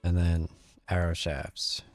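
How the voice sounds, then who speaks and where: A man's voice: low-pitched, level tone, slow pace, soft background music. A man hosting a gaming video, giving casual gameplay commentary and thinking aloud in a rambling way.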